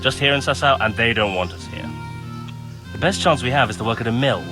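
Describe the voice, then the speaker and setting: A man's voice: mid-range voice, a little fast, music in the background, lightly acted. A man playing an easygoing, work-shy village carpenter in a video game, casual and matter-of-fact. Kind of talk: video game cutscene dialogue.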